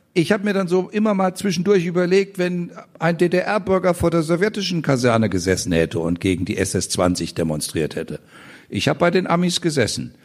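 A man in his sixties, a guest at a public panel talk, speaking passionately and emphatically, with indignant outbursts. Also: fairly high pitch. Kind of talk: animated stage conversation. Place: public event in front of an audience.

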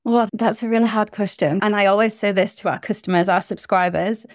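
A woman's voice: mid-range voice, fairly even intonation, fairly quick, dry recording, studio quality. A British woman in her thirties, chatting enthusiastically as a podcast guest about her small business. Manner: chatty and enthusiastic, laughs easily. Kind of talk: casual interview chat.

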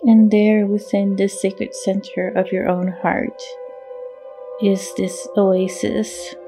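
A woman leading a guided meditation in a soothing, measured way, with long pauses and a gravelly, creaky voice. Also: mid-range voice, little pitch movement. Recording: meditation music with singing bowl behind. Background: meditation music, singing bowl tones.

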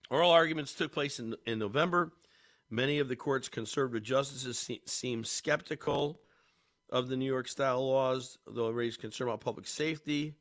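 A man's voice: medium pitch, normal speed, long pauses, fairly bright tone. A man hosting a talk radio show, congested, rambling casually with many fillers and restarts.

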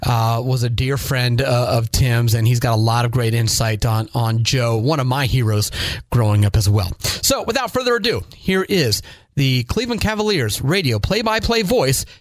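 A man's voice: mid-range voice, animated, moderate pace, dry recording. A man in his forties from Northeast Ohio with an American accent, talking conversationally as a podcast host. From Northeast Ohio, United States. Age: 40s. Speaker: podcast host, high school sports broadcaster. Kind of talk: conversational podcast introduction and interview.

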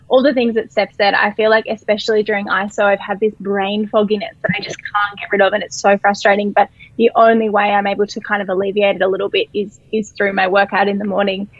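A woman with an Australian accent and a croaky voice, a company co-founder chatting as a podcast guest. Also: medium pitch, fairly bright tone, no room sound, highs cut off. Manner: chatty, upbeat, repeats words. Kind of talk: casual podcast interview.

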